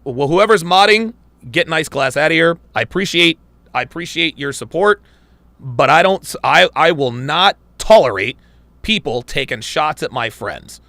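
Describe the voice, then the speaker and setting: A blunt, emphatic man reacts on a live podcast. His gravelly voice jumps all over in pitch, and the sound is close and dry. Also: animated, normal speed, sharp tone, no echo. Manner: blunt, emphatic, repeats himself for punch. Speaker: podcast host reacting to a video.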